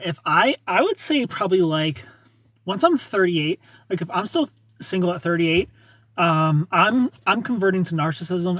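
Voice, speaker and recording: A man in his thirties hosts a casual livestream, greeting viewers and reading their comments aloud. He jokes at his own expense and laughs often. His voice is slightly raised in pitch and a little husky. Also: a bit bright, no echo. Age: 30s. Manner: joking, self-mocking, laughs often. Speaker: livestream host.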